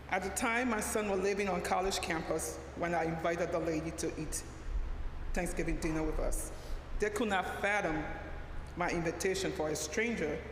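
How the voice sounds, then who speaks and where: Woman reading a sermon, echoing hall. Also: fairly low pitch. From Liberia. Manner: measured, deliberate reading with occasional restarts. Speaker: lay preacher, social worker. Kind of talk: sermon read from a prepared text. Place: church sanctuary. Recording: echoey church hall, faint background hum.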